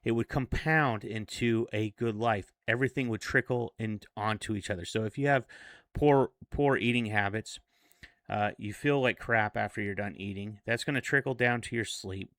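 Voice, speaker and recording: A man of forty speaking in a casual, reflective vlog monologue, earnest and a little halting. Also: lowish voice, a bit bright, no room sound, clean studio sound. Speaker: self-improvement vlogger.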